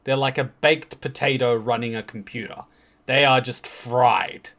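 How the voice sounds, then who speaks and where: A man's voice: mid-range voice, fairly even intonation, measured pace, a crowd in the background. A man hosting a game story reaction, commenting casually and irreverently, repeating words for emphasis.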